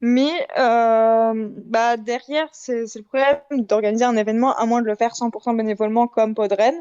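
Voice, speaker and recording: Smooth, mellow woman, slightly muffled sound. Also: fairly high pitch, steady tone, normal speed. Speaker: debate participant, amateur audio fiction maker. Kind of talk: casual debate, explaining an opinion.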